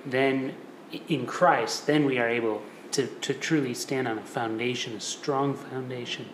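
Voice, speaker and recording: A man's voice: medium pitch, steady tone, normal speed, echoey room, slight background noise, low-quality recording. A man hosting a faith conversation, affirming his guest with short agreements and amens.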